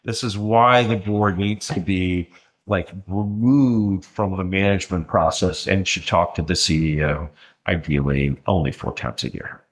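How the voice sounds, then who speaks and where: A man explaining in a lecturing way in conversation, often pausing with ums and restarting his sentences. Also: sits low. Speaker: interview guest.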